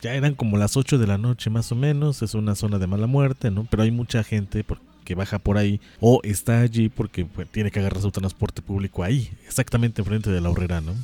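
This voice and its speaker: A man's voice: lowish voice, fairly quick, hardly any pauses, dark tone. A Mexican man co-hosting a podcast, chatting casually in a joking, informal way. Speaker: podcast co-host. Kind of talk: casual chat.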